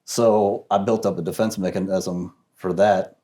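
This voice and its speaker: Soft-spoken man reflecting calmly. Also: sits low, unhurried. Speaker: guest. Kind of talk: casual discussion.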